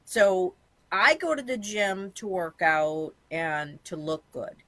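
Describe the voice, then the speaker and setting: A woman's voice: lowish voice, lively intonation, takes it slowly, a bit bright, no room sound. A woman, chatting bluntly and rambling as a guest in an interview. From Massachusetts, USA. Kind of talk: candid casual interview chat.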